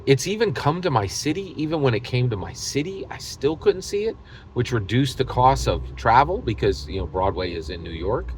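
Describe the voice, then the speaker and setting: American man, very lively pitch swings, emphatic hyperbolic delivery, halting casual monologue, noticeable background noise. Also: rough recording. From United States. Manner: emphatic, repetitive, hyperbolic, halting mid-thought. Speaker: host of a tabletop gaming video channel. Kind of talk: casual opinionated monologue to camera.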